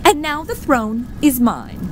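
A woman's voice: high-pitched, very animated, unhurried, background noise. An actress playing a teasing, grandiose demon queen of fire in an audio comedy drama, telling her tale with sly, theatrical relish. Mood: gloating. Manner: theatrical, savoring, sly storytelling. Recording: loud music under the voice.

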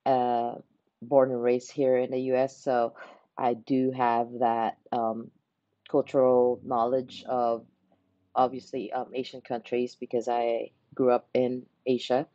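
Young woman, warm mellow voice, unhurried and searching for words, dry slightly muffled headset audio. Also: extremely low pitch, flat delivery, no room sound. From Philippines. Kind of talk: unscripted reflective monologue. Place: small indoor room by a window.

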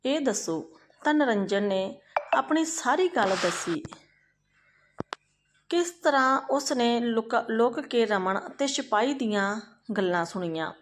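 Female storyteller, fairly high voice, for narrated moral folk tales. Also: animated, unhurried, a little hiss or hum.

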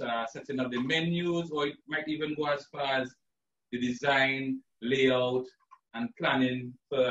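A man from Trinidad who works as a chef, talking through a live cooking demo in a relaxed, chatty way with lots of fillers. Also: a little high. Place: home kitchen indoors. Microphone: far camera, video call. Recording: roomy lo-fi sound from far off.

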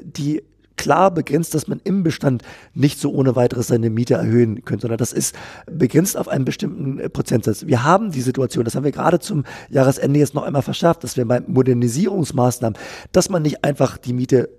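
A man being interviewed about policy, answering at length in a measured way, often repeating phrases and using fillers. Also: mid-range voice, darkish tone, breathy edge. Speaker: politician guest. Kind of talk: political interview.

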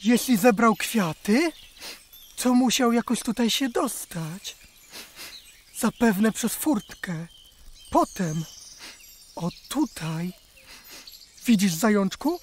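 A woman's voice: medium pitch, expressive, slow pace, pauses often, slight background noise. A woman voicing a brave, gallant male hare who speaks in a courtly, theatrical way in a children's radio play.

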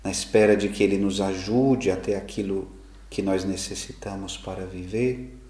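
A middle-aged male priest giving a homily, measured and reflective, with slow emphatic pauses. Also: sits low, fairly even intonation, mellow. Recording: echoey room, faint background noise.

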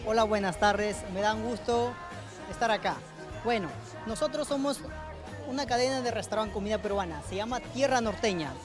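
A man's voice: sits high, normal speed. A man managing a restaurant, politely introducing it and listing its dishes to a reporter's handheld mic. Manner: polite, lists dishes steadily. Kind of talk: on-camera promo interview. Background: background music.

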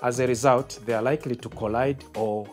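A man's voice: medium pitch, steady tone, a little fast. A middle-aged man with a Zambian accent, explaining tower procedures in a formal, methodical way for an interview. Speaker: air traffic controller, tower. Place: glass-walled control tower room, indoors. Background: background music.

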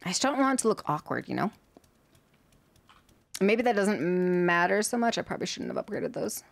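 A woman's voice: medium pitch, expressive, normal speed, creaky, dry recording. A young woman streaming a game from home, chatting to herself as she builds, her voice a little worn. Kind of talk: casual gameplay commentary.

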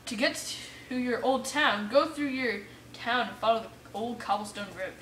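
Bright, high-voiced woman, excitable gamer. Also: normal speed. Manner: excitable, exclaiming and muttering to self. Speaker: gamer playing an adventure map for viewers. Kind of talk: casual let's play commentary. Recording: roomy echo, distant mic, faint noise.

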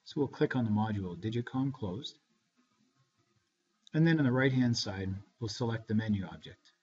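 A man gives measured, step-by-step software instructions as a tutorial narrator. His voice sits at an average male pitch. The recording is a slightly muffled, lo-fi screencast voice-over. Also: slightly rough.

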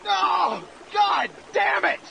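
A man playing an excitable, anxious young American army radio operator with a strained voice. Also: very high voice, very slow, gravelly, slight background noise, heavily acted, low-quality recording. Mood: frustrated. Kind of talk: war game dialogue.

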